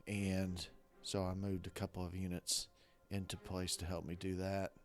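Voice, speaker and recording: A man with a hoarse, rough voice from a weekend of coughing, talking casually and haltingly through a strategy game. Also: deep voice, flat delivery, soft background music. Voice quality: rough-sounding voice. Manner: rambling, hesitant, many restarts. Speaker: strategy game video creator. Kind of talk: casual gameplay commentary.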